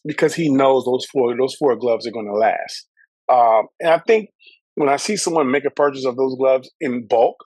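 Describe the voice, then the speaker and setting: A man's voice: mid-range voice, darkish tone, no echo, low-quality recording. Emphatic man, dry close sound. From Trinidad. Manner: emphatic, repeats phrases, salesman-like enthusiasm. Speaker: company founder and CEO, guest. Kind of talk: casual interview chat.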